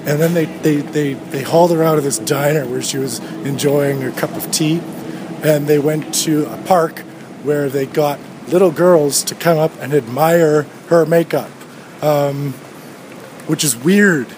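A man's voice: fairly high pitch, measured pace. A man in his thirties hosting a solo podcast, ranting in a sardonic, profane way while walking outdoors. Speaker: podcast host. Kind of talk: solo rambling podcast rant. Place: outdoors on a street. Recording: street noise with passing traffic.

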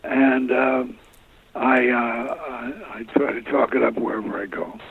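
An older man, an actor being interviewed, telling stories in a rambling, halting way with many fillers and restarts. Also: medium pitch, steady tone, creaky, husky. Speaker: guest actor. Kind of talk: relaxed interview storytelling. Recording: tinny phone line.

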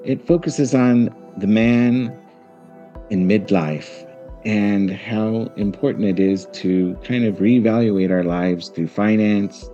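Male podcast host, 50s, American. Very low pitch, unhurried delivery, earnest and reflective. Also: warm tone, lo-fi sound. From Central Valley, United States. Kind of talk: reflective solo podcast talk.

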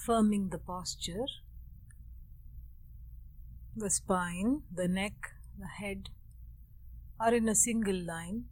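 A woman leading a guided meditation, speaking in short, measured phrases with long pauses between them. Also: mid-range voice, a little mellow. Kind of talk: guided meditation with opening Sanskrit chant.

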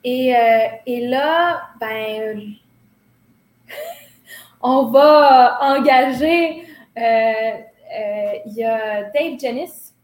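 A woman's voice: fairly high pitch, extremely slow, darkish tone. A woman in her thirties with a Québécois accent, speaking as an interview guest in a reflective, hesitant way with many fillers and restarts. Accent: Québécois French. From Quebec. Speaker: guest, choreographer. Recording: roomy recording, faint noise.

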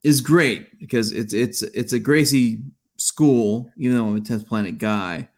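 A man's voice: mid-range voice, unhurried. Forties guy on a podcast, rambles a lot, um like you know, medium voice. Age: 40s. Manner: rambling, lots of fillers and restarts. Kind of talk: casual chat.

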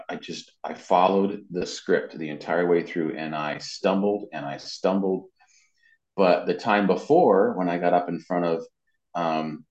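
A man's voice: deep voice, a little mellow. A man giving a casual, rambling interview as a guest, telling anecdotes about his work. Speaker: interview guest, voice actor and web designer. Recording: dull, roomy, lo-fi sound.

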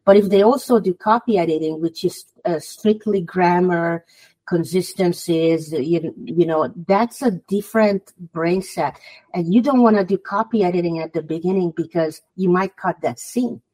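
Hungarian lady on a podcast, accent in her english, lowish voice, earnest, giving tips. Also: moderate pace, dark tone, poor audio. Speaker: guest author. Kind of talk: podcast interview.

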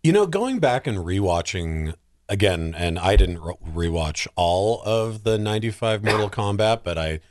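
Male podcast talker, very lively intonation, wry, casual film critique, slips into imagined quotes. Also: on the low side, normal speed. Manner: animated, wry, acts out imagined remarks.

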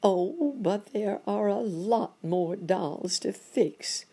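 A flustered monkey doctor from a children's storybook, acted out by a woman, pitched high and swooping up and down. He laments his lot in polite, wordy exclamations, full of self-pity. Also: sing-song, takes it slowly, creaky, warm tone, breathy edge, exaggerated voice. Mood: fretful.

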